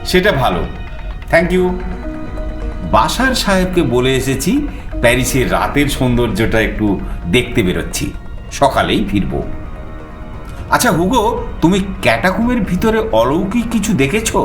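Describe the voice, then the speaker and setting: Expressive male suspense narrator, echoing. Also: on the high side, moderate pace, pauses often. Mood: pleased, curious. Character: male first-person narrator, adventurous, eager explorer visiting Paris. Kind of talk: dramatized suspense audio-story narration. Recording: echoing voice over background music.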